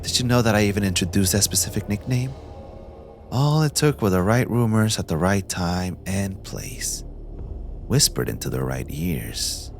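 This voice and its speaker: A man performing a young mafia heir in an audio drama, possessive and manipulative. His low voice swings expressively as he taunts with polished, condescending courtesy. Soft music plays behind him. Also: animated, normal speed. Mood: smug, calculating. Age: young adult. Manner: condescending, smoothly taunting, theatrically polite. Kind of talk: scripted ASMR audio roleplay addressed to the listener.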